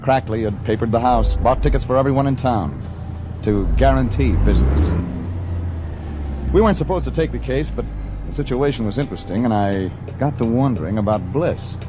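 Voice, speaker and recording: A man's voice: mid-range voice, expressive, brisk, music in the background, natural voice. A man playing a terse, dry, wisecracking private detective in an old-time radio drama. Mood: wry, narrating. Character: wry, hard-boiled male private detective narrator. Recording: muffled old radio playback in moving vehicle.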